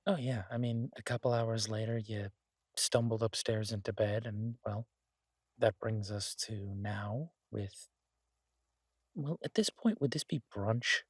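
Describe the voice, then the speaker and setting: A man playing an easygoing, affectionate chef in an intimate boyfriend roleplay audio, talking in a husky, halting, teasing way to a partner. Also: fairly low pitch, dry recording. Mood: tender, teasing. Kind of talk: intimate boyfriend roleplay audio, casual one-sided chat.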